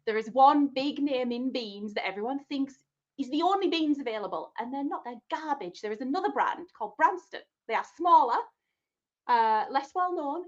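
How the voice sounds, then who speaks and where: A woman's voice: sits high, highs cut off. A woman from England, a podcast guest giving playful, opinionated rants in casual chat.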